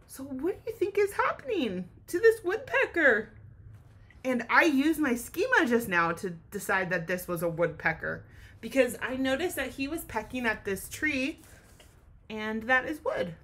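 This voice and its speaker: A woman teacher giving an expressive children's read-aloud lesson, pausing to ask questions and explain. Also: sits high.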